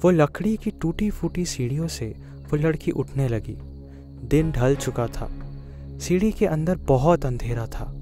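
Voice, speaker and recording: A man's voice: a little high, expressive, a little slow, mellow. A man giving scripted narration of a spooky children's story. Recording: clear music behind the voice. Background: background music.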